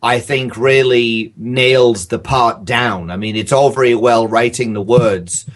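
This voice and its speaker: A man's voice: medium pitch, fairly even intonation, measured pace. A man chatting as a podcast guest, thoughtful and hesitant, often circling back over his phrases. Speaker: audio drama creator, podcast guest. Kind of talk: casual interview chat.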